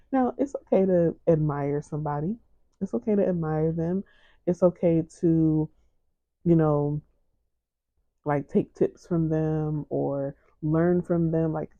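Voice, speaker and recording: A woman podcast host giving a gentle, encouraging faith-based talk in a soft, low, soothing voice. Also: dry recording, phone-quality sound.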